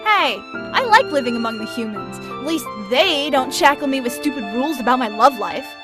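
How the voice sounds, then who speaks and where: A woman's voice: sits high, lively intonation, moderate pace. A woman voicing a flirty, free-spirited and defiant female dwarf in a cartoon fandub. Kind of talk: animated comic fandub acting. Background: prominent music.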